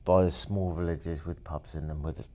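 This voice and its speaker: A man's voice: very deep voice, fairly even intonation, moderate pace, warm tone. A man in casual conversation, teasing and wry, painting pictures for his listener. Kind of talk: casual chat.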